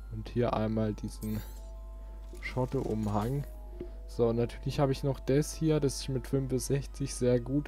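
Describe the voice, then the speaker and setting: A man gives casual gaming commentary in a mellow, relaxed voice at a middling pitch. He talks informally and thinks aloud as he goes. Faint music plays behind him. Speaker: let's play host.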